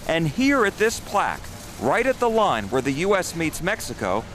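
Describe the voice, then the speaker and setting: A man's voice: a little high, huge pitch swings, moderate pace, fairly bright tone, slight background noise. A man working as an investigative reporter, reading scripted news narration.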